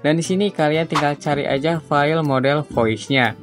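A man from Indonesia, giving a casual, informal step-by-step software tutorial. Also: a little high, moderate pace. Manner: informal, repetitive step-by-step instructing. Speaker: tutorial host. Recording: music behind the voice. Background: background music.

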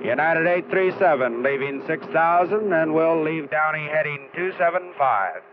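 Male actor as businesslike pilot, higher-toned, clipped position reports through thin, very noisy radio. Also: expressive, moderate pace, natural voice. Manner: terse, procedural. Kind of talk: clipped aviation radio phraseology. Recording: very noisy, tinny radio sound.

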